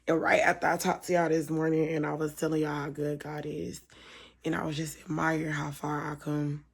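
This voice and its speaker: A young woman vlogging late at night with a hoarse, fading voice, talking softly and partly in a whisper. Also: low voice, flat delivery, brisk. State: hoarse, voice almost gone. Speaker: mom vlogger. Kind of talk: casual talk to camera. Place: home at night, lying down with her baby.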